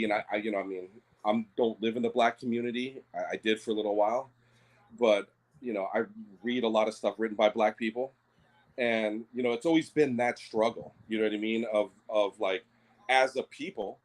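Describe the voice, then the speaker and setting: A man's voice: medium pitch, poor audio. A Native Hawaiian man talking things through on a podcast, hesitant, with many fillers and restarts. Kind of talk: casual discussion.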